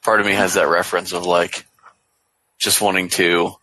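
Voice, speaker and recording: A man's voice: deep voice, level tone, normal speed, bright, forceful tone, rough recording. A man co-hosting a casual podcast chat, rambling and chuckling. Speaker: podcast co-host.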